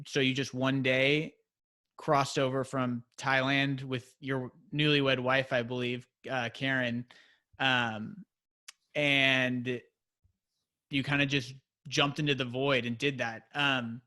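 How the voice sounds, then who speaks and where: Man, interviewer, middle pitch, casual, hesitant, lots of fillers. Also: level tone, slow, sharp tone. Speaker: interviewer, channel host. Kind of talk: interview questions.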